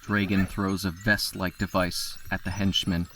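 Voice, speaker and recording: Man, scripted story narrator, lowish pitch, sharp forceful tone, audio drama. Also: little pitch movement, measured pace.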